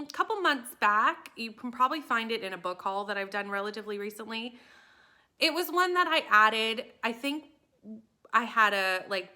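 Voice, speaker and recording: A woman's voice: a little high, bright, forceful tone. A woman talking casually and enthusiastically to camera as a book vlogger, with emphatic delivery.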